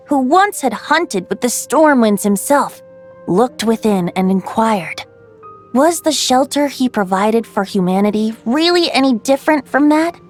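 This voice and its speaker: A woman playing a playful, youthful bard in a video game, telling tales in a lilting, theatrical, poetic way. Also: on the high side. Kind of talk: video game voice acting, storytelling.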